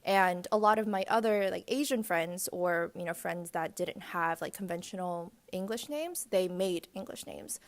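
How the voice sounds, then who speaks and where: A young woman, giving relaxed, chatty interview answers with fillers. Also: fairly low pitch, normal speed, few pauses. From Korea, raised in the United States. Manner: chatty, with fillers and light laughs. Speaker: interviewee, student.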